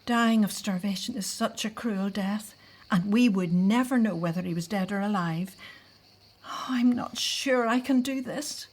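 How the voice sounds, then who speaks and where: Actress as an anxious, slow-speaking young mother. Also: medium pitch, natural voice. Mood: frightened, pleading. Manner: hesitant, anxious, often hushed. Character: frightened Hebrew mother, wife pleading with husband at night. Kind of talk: scripted audio drama.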